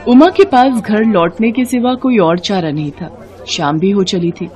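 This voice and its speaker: A woman's voice: mid-range voice, animated, a little slow, darkish tone, some chatter behind. A woman narrator reading a scripted moral bedtime story for children.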